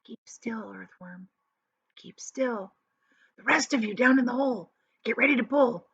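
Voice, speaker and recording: Woman playing a brave young boy captain, husky and urgent. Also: on the high side, measured pace, muffled recording, voice pushed up, heavily acted. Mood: hushed, tense. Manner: urgent, ordering. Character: brave, commanding young boy leading his friends.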